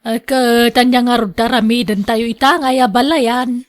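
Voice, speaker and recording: A woman acts out an exasperated older mother in a radio drama. Her voice is high, and she talks in a weary, complaining way, as someone tired of scolding a wayward son. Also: fairly even intonation, normal speed, close to an everyday voice. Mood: resigned.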